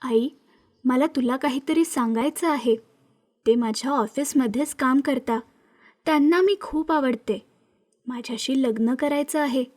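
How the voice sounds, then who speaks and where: Woman as high-voiced, earnest young widow. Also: a little slow, a little mellow. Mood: hesitant, confiding. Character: earnest young widowed mother, frank and hesitant.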